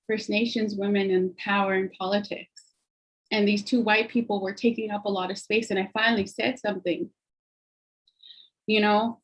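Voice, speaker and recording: A woman's voice: mid-range voice, husky, low-quality recording. A woman giving an earnest personal account at an online panel, talking conversationally over a video call. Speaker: guest speaker.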